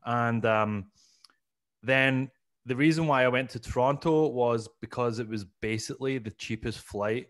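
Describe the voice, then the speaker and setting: A man's voice: mid-range voice, unhurried, a bit bright. A man in his thirties from Scotland with a Glaswegian accent, a comedian guest telling self-mocking stories in a casual interview, speaking into a studio microphone at home. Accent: Glaswegian Scottish. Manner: rambling, self-mocking, acts out his past self. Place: small room at home, video call. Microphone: studio mic on boom arm.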